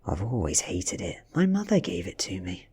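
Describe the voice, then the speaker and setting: A man voicing a sickly, nerdy teenage boy, earnest and self-deprecating, in a softly read story. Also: low-pitched, wildly swooping pitch, normal speed, slight background noise, full character voice.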